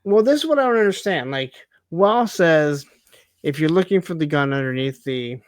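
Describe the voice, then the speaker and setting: A man's voice: on the high side, lively intonation, normal speed, no room sound. A man co-hosting a TV recap in casual chat, picking at the story with rhetorical questions. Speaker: co-host of a TV recap show.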